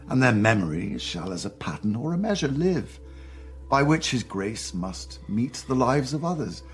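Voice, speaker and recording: Slow, expressive, reassuring courtier. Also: male voice, fairly low pitch. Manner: deliberate, persuasive. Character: measured, reassuring courtier counselling his sovereign. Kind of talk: Shakespearean radio drama acting.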